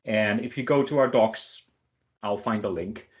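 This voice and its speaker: A man's voice: lowish voice, unhurried, muffled recording. A man giving a casual online tech talk and answering questions in an informal, joking way with short emphatic phrases. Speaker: featured speaker answering questions.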